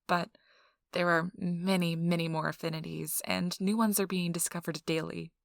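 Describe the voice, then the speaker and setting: A woman playing a friendly, chatty intake interviewer in a soft ASMR role-play, explaining things politely and informally. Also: fairly low pitch, flat delivery, moderate pace, gravelly, dry recording, studio quality. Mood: calm, businesslike. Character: friendly, chatty female intake interviewer at a vampire blood donation center.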